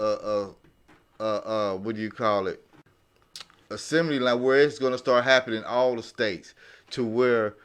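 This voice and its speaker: Halting man in a casual group discussion. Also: medium pitch, fairly bright tone, clean tone. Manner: halting, repetitive, searching for words. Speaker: podcast panelist. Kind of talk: casual round-table discussion.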